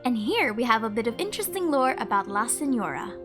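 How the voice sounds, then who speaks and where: A woman's voice: fairly high pitch, very animated, quick pace, over music. A woman hosting a video with casual scripted narration. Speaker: video creator, host.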